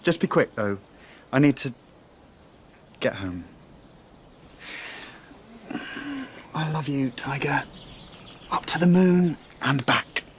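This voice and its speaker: A man's voice: mid-range voice, lively intonation, a little slow, long pauses, a little hiss or hum. A man playing a heartbroken, doting father in a radio drama, speaking in an earnest, weary, intense manner. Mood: tender, hurried.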